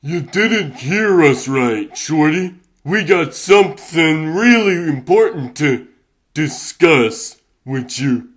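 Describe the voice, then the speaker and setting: A gangster henchman from a cartoon comic dub voiced by a man. He is blunt and threatening in streetwise slang, with a slightly high pitch and an unhurried delivery. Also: animated, a bit bright, heavily acted. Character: slangy gangster henchman pushing a kid around.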